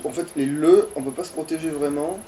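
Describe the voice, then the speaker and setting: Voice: medium pitch, fairly even intonation, fairly quick, mellow, distant mic, a little hiss or hum. A gamer giving casual, grumbling, sweary commentary while playing a video game.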